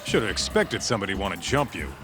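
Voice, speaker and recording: Crude loyal sidekick, lowish voice, very lively delivery, slangy game acting, music underneath. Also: male voice, quick pace. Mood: smug. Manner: blunt, slangy, swearing. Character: gruff, streetwise tough-guy sidekick, crude but loyal. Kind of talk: video game cutscene acting.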